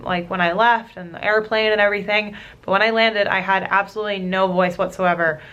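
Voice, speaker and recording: Young woman vlogging in a smooth, even voice. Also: mid-range voice. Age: young adult. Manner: candid, chatty, talks straight to viewers. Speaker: vlogger, postgraduate student. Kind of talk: casual vlog chat to camera.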